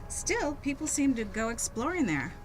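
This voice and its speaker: A woman playing a calm, shrewd small-town doctor in old-time radio style, composed and probing. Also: high voice, normal speed, a little hiss or hum. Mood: curious.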